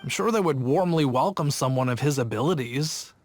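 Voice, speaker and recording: A man's voice: mid-range voice, animated, brisk, close to an everyday voice. An actor playing an earnest young male city gate guard who tells a story in an eager, rambling way, in game cutscene voice acting. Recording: game audio with faint music.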